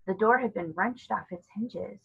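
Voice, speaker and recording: Woman reading a kids book out loud, bit raspy, slightly dull recording. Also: mid-range voice, moderate pace. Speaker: reader of a children's novel. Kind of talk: read-aloud storybook narration with character dialogue.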